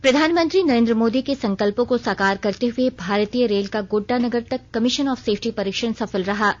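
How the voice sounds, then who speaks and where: A woman reads a formal radio news bulletin in a fairly high voice. The sound is slightly dull and band-limited, and the delivery is scripted throughout. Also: brisk. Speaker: news reader.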